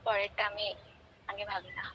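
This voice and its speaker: A woman's voice: mid-range voice, level tone, moderate pace. A young woman, chatting casually on a phone call in a guarded, blunt way. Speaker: prank call recipient.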